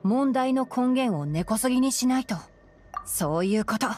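A woman playing a composed, knowledgeable young fox-eared male forest ranger in a Japanese game, explaining things in a measured way. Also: fairly high pitch, animated, close to an everyday voice. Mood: calm, matter-of-fact. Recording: game music behind the voice. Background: background game music.

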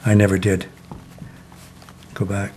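An older man in his seventies from Connecticut, reminiscing slowly in a sit-down oral history interview, with many pauses and "uh" fillers. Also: on the low side, monotone, a little mellow, a little hiss or hum. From Connecticut, USA. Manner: slow, reflective, many "uh" pauses. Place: living room, seated in armchair.

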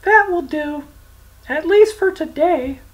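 A storyteller voicing a bold young man who mocks and commands the devil in a high, strained voice. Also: slow, poor audio. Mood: mocking. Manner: mocking, commanding. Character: bold young man hero, shrill and defiant.

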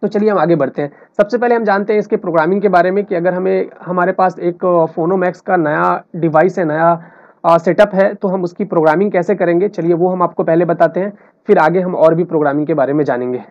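A high-pitched man teaches in an informal tutorial style. He explains step by step, repeating himself and dropping in English terms. The recording is dull and lo-fi. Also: steady tone, fairly quick, darkish tone. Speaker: technical tutorial instructor. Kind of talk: explanatory screen tutorial, unscripted. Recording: dull lo-fi voice-over.

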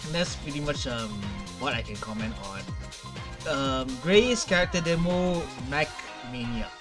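A young adult man giving casual, unscripted reaction commentary, often halting and repeating words, on a headset mic in a room at home. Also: medium pitch, lively intonation, over music. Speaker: reaction video host. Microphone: gaming headset mic.

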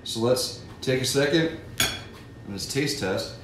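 A middle-aged man gives folksy, step-by-step cooking and safety instructions. He is picked up from across a home kitchen by a distant camera mic, so his voice sits back in the room. The hard surfaces add plenty of echo, and clear background noise runs under his speech. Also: medium pitch, lively intonation, takes it slowly. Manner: folksy, instructive, addresses kids and parents directly. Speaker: fire safety educator. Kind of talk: cooking demonstration with safety tips. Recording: echoey kitchen, mic far off, audible noise.

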